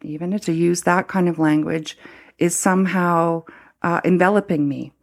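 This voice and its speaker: A middle-aged woman from Canada speaks in a low, slightly gravelly voice. She talks reflectively and haltingly, circling back with fillers and restarts as she works through her thoughts. It sounds like a thoughtful interview conversation. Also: steady tone. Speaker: former Anglican priest, guest.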